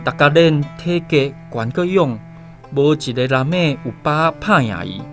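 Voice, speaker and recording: A man's voice: a little high, expressive, a little slow, vocal fry, fairly bright tone, full character voice. An actor playing a plainspoken, thoughtful male islander who recounts his story in a radio drama, with a Taiwanese accent. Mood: admiring, tense. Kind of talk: first-person radio drama narration. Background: faint guitar music.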